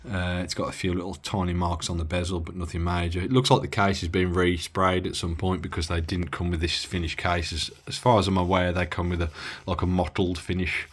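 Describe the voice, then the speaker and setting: A deep-voiced man talks through an old radio he is testing at the bench. His delivery is casual and rambling, full of filler words and mid-sentence corrections. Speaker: radio hobbyist reviewing gear. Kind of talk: casual bench-test walkthrough.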